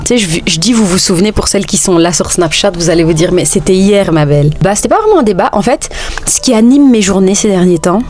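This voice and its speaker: A woman's voice: mid-range voice, expressive, fast, a little mellow, soft background music. A woman in her thirties talking casually and playfully as a vlogger, sassy and joking, slipping between French and Dutch. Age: 30s. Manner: playful, sassy, jokes often. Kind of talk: casual chatty vlog.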